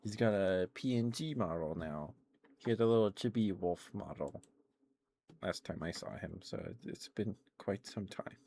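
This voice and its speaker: Deep-voiced man in casual ASMR chat. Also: animated, measured pace, mellow, slight background noise. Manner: rambling, hesitant, trailing off and self-correcting. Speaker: live streamer hosting an ASMR stream. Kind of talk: casual ASMR chat about video games.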